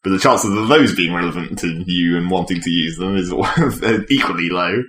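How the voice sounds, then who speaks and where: A man's voice: low-pitched, moderate pace, fairly bright tone. A man co-hosting a podcast, casually talking through video games in an analytical, explanatory way. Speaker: podcast co-host. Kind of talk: casual gaming discussion. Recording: echoey room, faint background noise.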